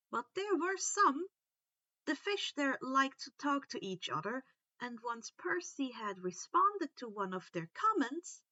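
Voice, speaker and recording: A woman narrating a story in an expressive, animated audiobook style, stressing casual asides. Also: a little high, dry recording, highs cut off. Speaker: reader of fan fiction for online listeners.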